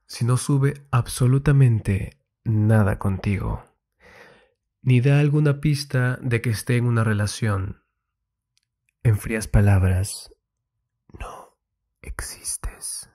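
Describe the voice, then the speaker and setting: A man giving a soft, intimate ASMR monologue in a breathy voice that often drops to a whisper, soothing and confiding, close to the mic. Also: mid-range voice, slow, pauses often. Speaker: ASMR host giving love advice. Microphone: very close ASMR microphone.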